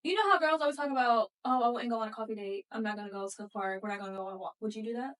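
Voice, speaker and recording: Young woman in her 20s, kinda high voice, asks lots of questions, a little off mic. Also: very fast, fairly bright tone, recorded from a distance. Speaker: podcast co-host. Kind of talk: casual chat.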